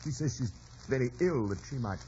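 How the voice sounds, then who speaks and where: Actor as quick-talking anxious gentleman. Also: medium pitch, expressive, a little hiss or hum, lightly acted. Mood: worried. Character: anxious gentleman husband in radio drama. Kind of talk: 1950s radio drama acting. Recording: dull old broadcast, loud music behind.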